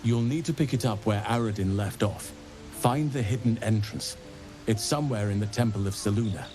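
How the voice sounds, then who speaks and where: Low-voiced, solemn druid played by an actor. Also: male voice, music in the background, lightly acted. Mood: grave, measured. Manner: measured, grave, formal. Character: solemn, regretful male druid, seasoned and wise. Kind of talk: dramatic fantasy game dialogue.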